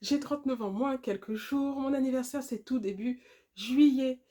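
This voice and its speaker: A woman's voice: high voice, normal speed, dark tone. Chatty woman in her thirties, rambling. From Guadeloupe. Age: 30s. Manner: rambling, chatty, laughs often, many fillers. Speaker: vlogger. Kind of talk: casual chat to camera.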